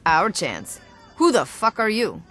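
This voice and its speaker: A woman's voice: mid-range voice, wildly swooping pitch, measured pace, a bit bright, faint music behind, heavily acted. A woman playing a tough, sardonic young gangster in a video game, with blunt delivery. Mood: hard, vengeful. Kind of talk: video game cutscene acting.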